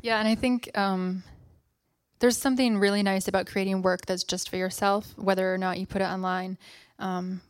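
Female host of a live interview, easygoing with frequent ums, mid-pitched, dry. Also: flat delivery, moderate pace, smooth, dry recording. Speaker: podcast host.

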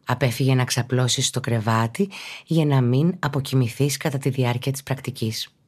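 Husky low-key woman guiding meditation. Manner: calm, measured, instructional. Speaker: yoga and meditation teacher, podcast host. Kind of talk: guided relaxation meditation narration.

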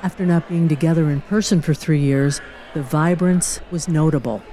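A woman's voice: deep voice, normal speed, warm tone, a little hiss or hum. A woman giving upbeat, scripted narration for an event video. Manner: upbeat promotional delivery.

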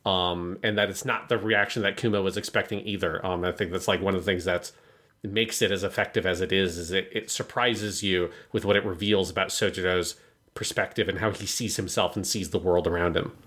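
A man's voice: sits low, fairly even intonation, brisk, a bit bright. A man co-hosting a podcast, casually talking through an in-depth review in a rambling, hesitant way with frequent swearing. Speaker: podcast co-host.

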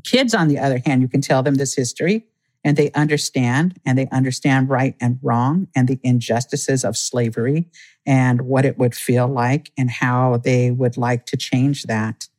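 A man speaking as an interview guest, earnest and explanatory, laying points out at a steady, measured pace. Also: medium pitch. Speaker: guest, Native community organizer. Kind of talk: conversational interview.

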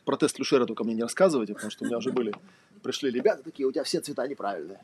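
A man's voice: medium pitch, racing, noisy background. A man giving a casual lecture, talking conversationally with lots of fillers and rhetorical questions.

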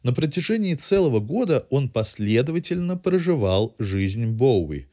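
A man presents a cultural review as a podcast host. His voice sits at a middling pitch and moves in very animated, varied intonation. The phrasing is erudite and literary, following a loosely scripted narration. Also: normal speed.

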